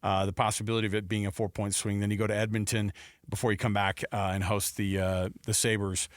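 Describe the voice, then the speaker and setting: A man's voice: on the low side, flat delivery, brisk, no room sound, studio quality. A man hosting casual sports talk radio, chatty and repeating himself for emphasis.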